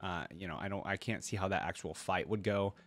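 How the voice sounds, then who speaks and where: A man's voice: sits low, steady tone, brisk, a bit bright. A man hosting a reaction video, commenting casually in a laid-back, slangy way as he thinks aloud.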